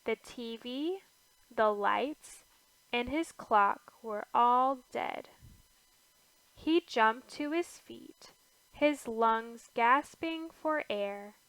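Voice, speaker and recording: Slow-reading woman with a higher, creaky voice. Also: a bit bright, no echo, poor audio. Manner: deliberate phrasing with pauses between short phrases. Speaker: storybook reader. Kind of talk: slow read-aloud of a rhyming children's picture book.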